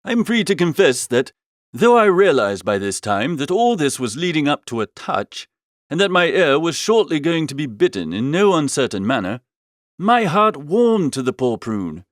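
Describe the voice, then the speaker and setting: A man voicing an amiable, flustered upper-class young gentleman, chattily narrating a comic audiobook in old-fashioned slang. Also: fairly high pitch, very animated, normal speed, dry recording, studio quality. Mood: amused narrating.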